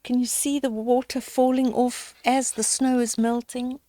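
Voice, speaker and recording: A woman's voice: a little high, level tone, measured pace, warm tone. An older woman reads a children's story aloud, adding simple chatty asides for young listeners. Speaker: storyteller reading children's picture books aloud.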